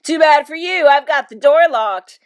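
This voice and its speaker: A woman voicing a rude, childish storybook father who is loud, taunting and disagreeable. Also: medium pitch, animated, slow. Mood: gloating.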